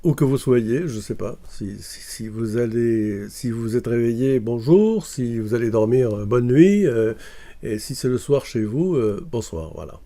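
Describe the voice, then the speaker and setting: A man's voice: mid-range voice, animated, unhurried, warm tone. A man from Morocco, talking casually to camera and hesitating often with fillers and restarts. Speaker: video commentator.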